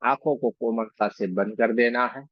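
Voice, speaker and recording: A man leading a guided meditation as a reiki guide, speaking slowly and soothingly with pauses and repeated phrases. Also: medium pitch, fairly even intonation, no echo, muffled recording. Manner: slow, soothing, repetitive instruction with frequent pauses.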